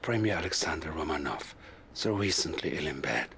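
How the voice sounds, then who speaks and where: A scheming psychic advisor who talks in a deep, low hush. His menace stays quiet and controlled, and his words often fade into a breathy whisper. It sounds like a strategy game cutscene. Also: expressive, brisk, a little hiss or hum, full character voice. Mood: sinister hush. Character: sinister middle-aged male psychic advisor, scheming. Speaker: Soviet military advisor. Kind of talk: video game cutscene acting.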